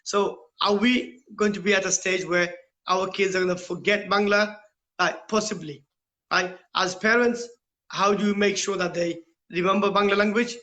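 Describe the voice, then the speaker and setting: British guy, middle aged, high forceful voice, explains stuff earnestly in an interview, keeps asking questions. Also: fairly even intonation, moderate pace. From United Kingdom. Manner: rhetorical questions, tags points with "right?". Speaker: interview guest, school principal.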